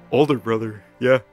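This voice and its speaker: A man casually retelling a story in a rambling, mock-serious deadpan, improvising dialogue for comic effect. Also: mid-range voice. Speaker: co-host retelling a story recap.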